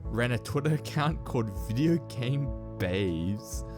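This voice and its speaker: A man's voice: mid-range voice, lively intonation, a little slow. A man reading scripted explainer narration for a video essay. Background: background music with guitar.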